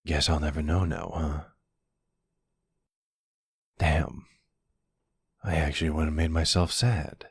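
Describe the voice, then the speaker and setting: A man playing a smug, flirty vampire in an intimate ASMR roleplay, teasing and softly menacing, with a husky, drawling delivery. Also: flat delivery, takes it slowly, long pauses, no echo, studio quality, heavily acted. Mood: wistful. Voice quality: husky, gravelly, very deep. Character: flirty, smug male vampire taunting a captive hunter.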